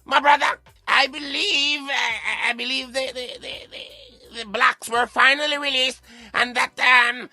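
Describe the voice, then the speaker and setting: A man debating in formal English, in a halting but insistent manner. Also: very high-pitched, fairly even intonation, pushed and bright, dry recording, soft background music. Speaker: radio show co-host.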